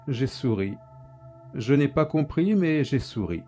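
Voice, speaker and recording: A man narrating a dark first-person story in a flat, measured, placid way. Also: mid-range voice, soft background music.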